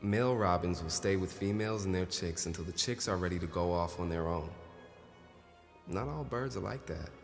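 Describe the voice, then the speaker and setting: An actor playing a gentle, caring, protective man in a stage drama reading. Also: low-pitched, normal speed, gravelly, long reverb tail, soft background music. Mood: warm.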